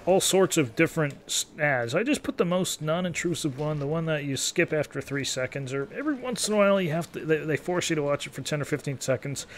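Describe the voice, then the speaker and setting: A man's voice: fairly high pitch, slight background noise. A man in his thirties giving casual, rambling gameplay commentary as a YouTuber, in an easygoing, take-it-or-leave-it manner. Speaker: gaming YouTuber.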